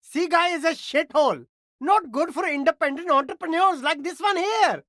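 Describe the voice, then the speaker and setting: An actor voicing a cheerful, slick and eager immigrant street vendor in a video game, with flattering, laid-back sales patter. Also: very high voice, moderate pace, dry recording, studio quality. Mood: smooth, flattering. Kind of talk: video game character dialogue.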